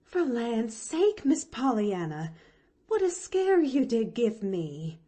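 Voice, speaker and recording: A woman's voice: fairly high pitch, animated, a little slow, mellow, slightly rough, pitched above the performer's own voice. A woman voicing a kindly, dogged country housemaid in a storybook, folksy and ungrammatical, full of flustered exclamations. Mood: breathless relief.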